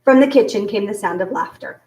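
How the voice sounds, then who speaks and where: A woman reading a children's book aloud in an expressive storytelling style. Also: medium pitch, a little fast. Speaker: story reader. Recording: roomy echo, distant from mic.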